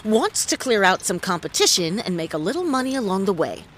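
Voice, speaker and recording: A woman playing a wry, hard-boiled private detective in a noir audio drama, deadpan, quippy and world-weary. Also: mid-range voice, fairly quick, slight background noise. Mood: deducing.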